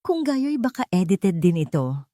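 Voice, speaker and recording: Older tycoon patriarch, read by a woman, calm and cutting, weighty deliberate lines, audio storybook, dry sound. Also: medium pitch, no room sound. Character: authoritative older male billionaire, calm, cutting, commanding.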